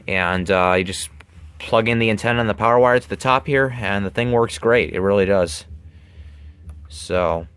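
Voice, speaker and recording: A man giving a casual hands-on demonstration in a halting way, often repeating phrases, recorded at home on a handheld camera. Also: fairly low pitch, a bit bright, clean tone, no room sound. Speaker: video maker showing a gadget. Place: carpeted room at home. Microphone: handheld camera mic.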